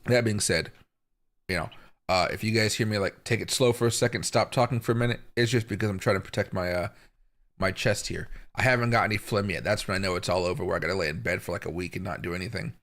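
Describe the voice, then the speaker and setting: A man with a hoarse voice from a chest infection, hosting a live market commentary stream at an unhurried pace with frequent pauses and fillers. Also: low voice, steady tone, fairly bright tone. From Seattle area, US. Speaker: crypto market livestream host.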